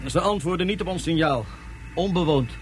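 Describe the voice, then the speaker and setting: An actor playing a proud male nomad chieftain who explains things in a measured way, with hesitant pauses. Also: on the high side, a little hiss or hum, natural voice. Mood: explaining. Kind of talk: radio play acting.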